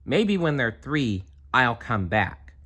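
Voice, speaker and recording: A storybook little girl, put on by a man reading aloud, frustrated and fed up with her siblings. The voice sits high and swings widely in pitch, with unhurried delivery. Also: sing-song, a bit bright, full character voice. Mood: exasperated, whiny.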